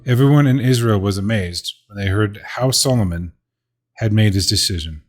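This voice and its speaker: A gentle, low-voiced father narrating. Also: normal speed. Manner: gentle, earnest storytelling. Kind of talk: reading a Bible story in a home-made audio drama.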